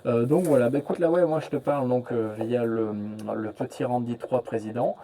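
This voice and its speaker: A man chatting casually as a radio operator, halting and often repeating his words. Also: mid-range voice, fairly even intonation, warm tone, slight background noise. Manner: hesitant, repeats words, trailing "hein" and "voilà". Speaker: amateur radio operator, relay network host. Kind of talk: casual radio contact chat.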